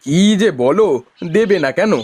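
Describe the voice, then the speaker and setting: A cheerful village husband and farmer, voiced by a man for a cartoon. His voice sits a little high and he talks politely. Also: lively intonation, moderate pace, darkish tone. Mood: cheerful, eager. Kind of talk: Bengali cartoon dialogue.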